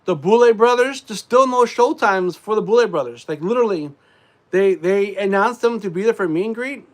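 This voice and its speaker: Man, somewhat high voice, congested, rambling casual stream chat, clears throat a lot. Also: animated, brisk. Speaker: live streamer.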